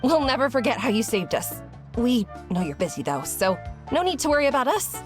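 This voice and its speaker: Young surfer game role: fairly high, somewhat lively voice, earnest and friendly. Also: female voice, fairly quick, music in the background. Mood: grateful. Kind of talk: video game voice acting.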